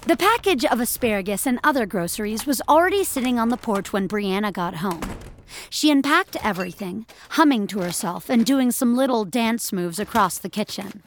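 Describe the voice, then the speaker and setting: A woman's voice: fairly high pitch, animated, normal speed, a little hiss or hum. A woman narrating scripted fiction as a storyteller.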